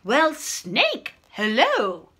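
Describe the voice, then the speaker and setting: Woman voicing a bright, boastful little storybook mouse. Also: high-pitched, wildly swooping pitch, drawn-out words, slightly rough, full character voice. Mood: bold, cheery. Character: small, cunning, boastful mouse, bragging he is scary. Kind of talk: children's storybook read-aloud.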